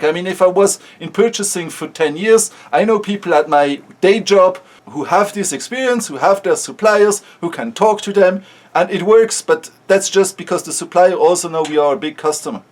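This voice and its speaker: Swiss-accented man, casual vlog monologue, direct and earnest, voice sits fairly high. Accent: Swiss German. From Switzerland. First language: Swiss German. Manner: earnest, frank, with restarts. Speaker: maker sharing experience.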